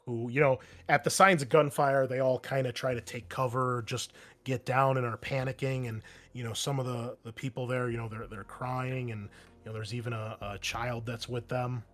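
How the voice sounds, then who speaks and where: A man's voice: mid-range voice, steady tone, normal speed, a bit bright. A man speaking informally and conversationally as game master, narrating and refereeing a tabletop roleplaying game.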